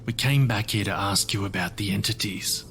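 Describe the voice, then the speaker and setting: A man playing a courteous, self-assured magical wanderer who collects stories, speaking in a measured, formal way in an audio drama. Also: on the low side, fairly bright tone, slight background noise. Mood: polite, curious.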